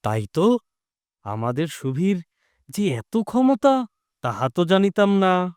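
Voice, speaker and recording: A man as slow, swooping idle village youth. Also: high-pitched, big pitch swings, darkish tone, smooth, no echo, studio quality, full character voice. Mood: wondering. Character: idle, easygoing young village man who loves fishing. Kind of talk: Bengali audio drama acting.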